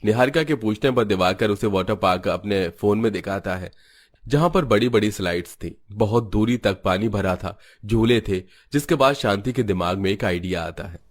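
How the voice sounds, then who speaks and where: A man's voice: lowish voice, moderate pace. A man reading scripted narration for a family story.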